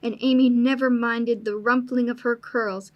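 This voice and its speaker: Higher-voiced woman narrating a storybook. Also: steady tone, moderate pace, highs cut off.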